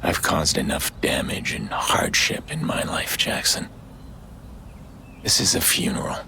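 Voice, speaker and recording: An actor playing a weary, guilt-ridden middle-aged vigilante in a video game, with a hushed, gravelly voice. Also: male voice, rumbling low, sing-song, a little slow, a little hiss or hum, full character voice. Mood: grim, remorseful. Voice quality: gravelly, hushed, near-whispering. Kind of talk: dramatic video game voice acting.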